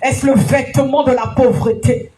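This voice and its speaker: High-voiced woman preaching with shouted peaks. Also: fairly even intonation, normal speed, soft background music. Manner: emphatic sing-song preaching with shouted peaks; frequent alleluias and direct questions to listeners. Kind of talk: sermon. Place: church gathering.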